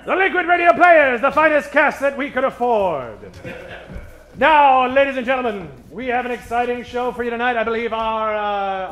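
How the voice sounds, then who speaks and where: A male host with a distinctly high voice works a live stage in the theatrical manner of a 1940s radio emcee. He projects like a showman to the house, and the sound is strongly echoey. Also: a bit bright. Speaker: host of a live improvised radio comedy show. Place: stage in front of a live audience.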